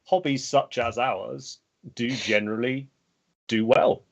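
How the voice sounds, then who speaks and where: A man's voice: mid-range voice, steady tone, takes it slowly, gravelly. A man from the UK hosting a podcast, chatting casually and rambling with many fillers. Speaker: podcast host. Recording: dull lo-fi remote audio.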